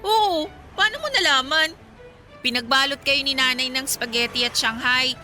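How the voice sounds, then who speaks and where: A woman's voice: sits high, moderate pace, pushed and bright, faint music behind. An actress with a Filipino accent playing a chatty, teasing young woman, a best friend, in a radio drama. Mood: playful, teasing.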